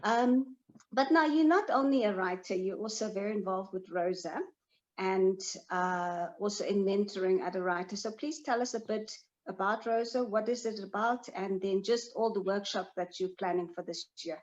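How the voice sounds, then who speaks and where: A woman's voice: mid-range voice, moderate pace. A woman hosting an interview, encouraging her guest and often saying "you know". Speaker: interview host. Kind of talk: casual interview. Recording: dull lo-fi audio.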